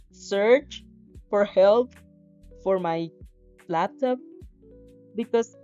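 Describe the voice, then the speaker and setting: Slow-paced, mellow female streamer. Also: mid-range voice. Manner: giggly, rambling, self-interrupting. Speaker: streamer, fanfiction reader. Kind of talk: livestream reading aloud and casual chat. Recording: slightly muffled audio with music behind.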